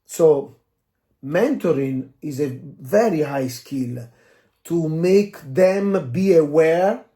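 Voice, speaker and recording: A man who speaks English as a second language, explaining as a dance coach in a casual, halting discussion, searching for words and often adding "you know". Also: fairly high pitch, expressive, mellow. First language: not English. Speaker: dance coach and podcast co-host.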